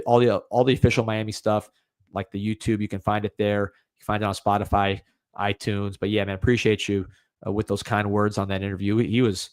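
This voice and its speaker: A man's voice: lowish voice, no echo. A young adult man with a light Cuban-American accent hosting a casual livestreamed sports podcast, rambling with restarts and a lot of "man.". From United States. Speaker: podcast host.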